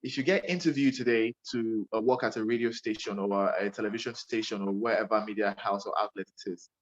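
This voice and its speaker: A man's voice: on the low side, steady tone, brisk, highs cut off. A young man with a Nigerian accent, a radio presenter giving earnest, emphatic advice to a young interviewer and often repeating himself.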